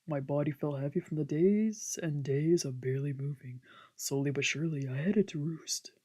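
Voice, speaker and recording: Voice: medium pitch, moderate pace, dark tone, breathy edge. A streamer reading a visual novel's narration aloud, occasionally stumbling over a word. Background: background music from a video game.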